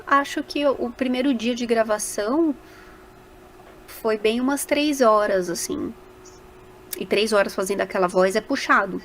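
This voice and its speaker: A Brazilian woman with a mid-pitched voice talks casually in a podcast interview. She tells stories in an animated way, slipping into quoted remarks. A faint noise sits behind her voice. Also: low-quality recording. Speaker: voice actor guest.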